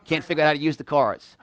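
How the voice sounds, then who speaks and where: High-voiced, emphatic male motivational speaker. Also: brisk, fairly bright tone, dry recording, highs cut off. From New York, United States. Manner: emphatic, repeats phrases and fires questions at listeners. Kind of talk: motivational seminar talk to a live audience. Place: live event room with a small audience.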